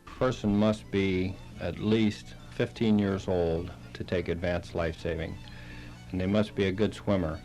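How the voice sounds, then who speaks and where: A man, a halting and plain-spoken interview guest, explaining things on the radio. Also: deep voice, fairly even intonation, darkish tone, faint music behind, rough recording. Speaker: interview guest, Red Cross chapter director.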